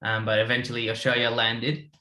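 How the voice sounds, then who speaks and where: Bright-voiced young man, echoey room. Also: mid-range voice, completely flat delivery, fairly quick. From India. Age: 20s. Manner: rambling, many restarts. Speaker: guest. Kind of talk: casual panel chat.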